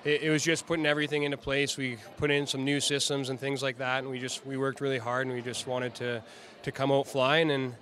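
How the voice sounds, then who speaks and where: A young man, a hockey player, answering post-game interview questions quickly and with many restarts, into handheld reporters' mics at an indoor media backdrop. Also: medium pitch. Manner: fast, even, with many restarts. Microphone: handheld reporters' mics, close.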